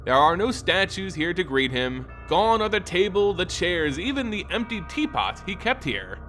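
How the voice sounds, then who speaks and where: A man's voice: high voice, very animated, normal speed, pushed and bright, faint music behind. A man narrating a scripted fantasy story in a theatrical, brooding manner.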